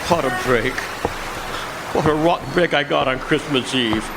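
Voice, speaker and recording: A man's voice: mid-range voice, moderate pace, vocal fry, heavy background noise, natural voice. An actor playing a grief-stricken, embittered night driver in a radio drama, stammering and repeating his words. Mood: wistful, grieving. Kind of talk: stage radio drama acting. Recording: live stage performance, long hall reverb.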